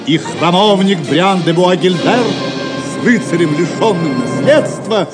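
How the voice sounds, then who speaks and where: A male narrator with a fairly high voice tells a scripted story in a theatrical, declamatory way. Music plays clearly behind him. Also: lively intonation, unhurried.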